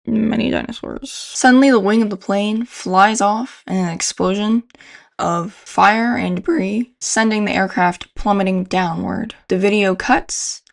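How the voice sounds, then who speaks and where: A woman's voice: mid-range voice, fairly even intonation, measured pace, vocal fry. A young adult woman giving casual, informal, slangy video commentary as a host. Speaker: YouTube horror video host.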